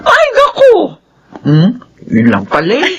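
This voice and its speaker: An actress playing a talkative, lonely middle-aged aunt in a radio drama, full of exclamations. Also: female voice, measured pace, background noise. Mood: exclaiming. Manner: animated, exclamatory, swooping pitch.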